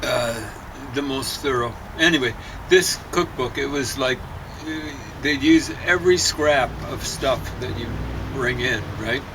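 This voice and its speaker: A man with a mid-pitched voice chats casually, chiming in like a companion. The recording has clear background noise with a traffic-like rumble. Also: a little slow. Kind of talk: casual chat.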